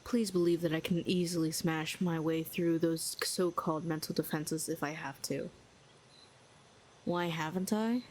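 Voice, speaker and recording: Audio roleplay: woman voicing a condescending female villain telepath, low and flat, dryly mocking. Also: a little slow, slight background noise. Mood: probing, sardonic.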